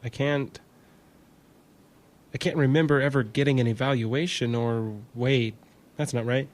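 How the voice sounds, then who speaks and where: A man's voice: mid-range voice, fairly even intonation, a little slow, natural voice. A man acting in an audio drama as an anxious, defensive artificial intelligence, hesitant and stammering, evasive and argumentative. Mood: confused, hesitant.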